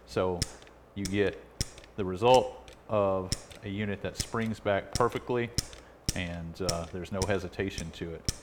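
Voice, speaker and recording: Low-pitched man explaining repairs, noisy recording. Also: steady tone, measured pace. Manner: plain-spoken, step-by-step explaining. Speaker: repair video host. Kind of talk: casual hands-on repair tutorial. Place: indoor electronics repair workbench. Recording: clearly noisy workshop recording.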